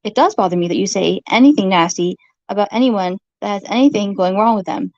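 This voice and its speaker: Woman with vocal fry, argumentative and repetitive, informal monologue, dull dry sound. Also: mid-range voice, a little mellow, dry recording. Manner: accusatory, halting, repeats phrases.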